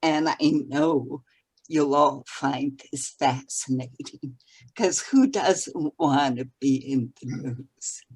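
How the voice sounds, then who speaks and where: A woman's voice: a bass voice, slow, a little mellow, breathy edge. A woman hosting a club meeting on a video call, introducing a guest speaker with light humor. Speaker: club host.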